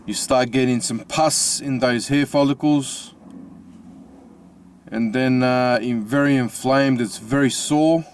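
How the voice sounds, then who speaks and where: An Australian man with a slightly mixed accent from his Italian family, talking casually from inside his car with many pauses and restarts. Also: medium pitch, slight background noise. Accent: Australian with Italian-family influence. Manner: halting, many fillers and restarts. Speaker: vlogger. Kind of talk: casual personal vlog.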